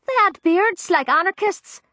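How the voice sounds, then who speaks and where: A frightened young Cockney maid babbles breathlessly. Her voice sits very high and sounds thinner than a natural speaking voice. She trips over rough, working-class grammar in a storybook reading. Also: lively intonation, unhurried, bright, forceful tone, no echo, full character voice. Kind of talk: audiobook character voice.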